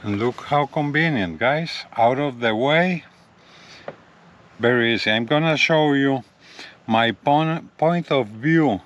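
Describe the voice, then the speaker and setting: A man presents gear in a casual vlog style at a slow, unhurried pace. His voice sits at a middling pitch, and he often repeats himself as he points things out. There is faint vehicle noise around him. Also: fairly bright tone, highs cut off. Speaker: gadget review vlogger. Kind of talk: casual hands-on product demo, addressing viewers. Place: in and around a parked pickup truck.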